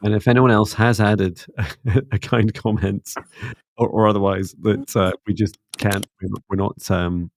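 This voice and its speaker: Gravelly low Scottish man. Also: flat delivery, no echo. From Scotland. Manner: upbeat, chatty, slows down and softens when relaying messages. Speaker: psychic reader and co-host. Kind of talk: live-stream psychic reading chat.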